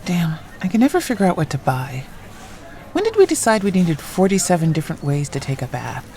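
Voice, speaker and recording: A woman's voice: lowish voice, very animated, a little fast, dark tone. An actress playing a blunt, impatient female friend in an audio drama, dry and sarcastic. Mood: wry.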